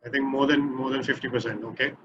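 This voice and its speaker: A man moderating an online workshop in a relaxed, conversational way over a video call. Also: medium pitch, quick pace, husky. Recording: muffled video-call audio.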